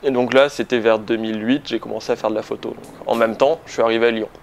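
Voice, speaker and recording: A man's voice: medium pitch, steady tone, fast, creaky, a little hiss or hum. A man in his late twenties from Toulouse, chatting casually and with some self-deprecation as he is interviewed. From Toulouse, France. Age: late 20s. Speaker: interviewed photographer.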